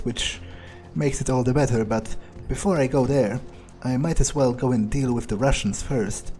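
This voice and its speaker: A man roleplaying a cruel, arrogant vampire in a video game, musing in a deliberate, condescending way with pauses. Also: medium pitch, mellow, natural voice. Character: cruel, arrogant male vampire, coolly menacing, entitled.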